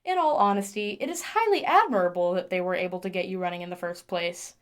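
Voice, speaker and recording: A woman's voice: fairly low pitch, lively intonation, fast, lightly acted. A woman playing an earnest, methodical mechanic in an audio drama, speaking formally and wordily as if logging repair notes. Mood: admiring. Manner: precise, formal, wordy. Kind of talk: audio drama, spoken log entry.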